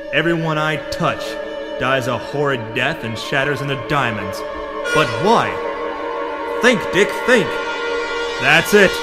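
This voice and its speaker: Guy doing a slow talking campy pulp space hero, dramatic swoops, loud music behind. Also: a little high. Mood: frantic. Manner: melodramatic, earnest. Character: brash, hapless pulp space hero, adult man. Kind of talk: campy audio drama acting.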